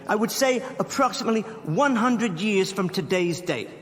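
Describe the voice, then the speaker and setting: An actor playing a pompous, coolly confident criminal professor in a radio drama, speaking with precise, pedantic formality. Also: male voice, high voice, expressive, normal speed. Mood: grandly assured. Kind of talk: old-time style radio drama acting. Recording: long hall reverb. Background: faint dramatic music.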